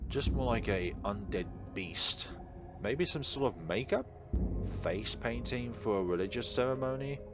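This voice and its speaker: A man's voice: medium pitch, expressive, measured pace, music in the background. A man hosting a visual novel playthrough, reading the text aloud and mixing in casual, offhand remarks. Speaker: host of a game playthrough.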